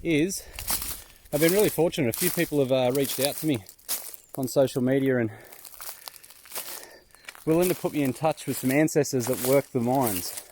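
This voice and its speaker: A man's voice: medium pitch, normal speed, pauses often, a little mellow, slight background noise. A laid-back Australian man chatting casually and slangily to viewers as he vlogs about exploring outdoors. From New South Wales, Australia. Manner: laid-back, informal, slangy, self-deprecating. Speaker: vlogger and amateur explorer of abandoned mines. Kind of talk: casual vlog chat to viewers. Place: outdoors in the bush.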